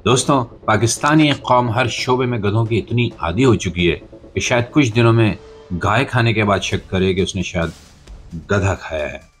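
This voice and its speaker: Animated Pakistani man doing satirical commentary. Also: on the low side, normal speed, soft background music. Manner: sarcastic, theatrical, rhetorical delivery. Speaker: podcast host. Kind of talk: satirical news commentary monologue.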